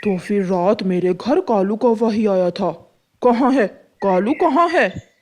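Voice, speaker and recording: A woman's voice: mid-range voice, lively intonation, slow, a little mellow. Woman playing an insistent, suspicious male pigeon. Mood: accusing. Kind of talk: children's cartoon story acting.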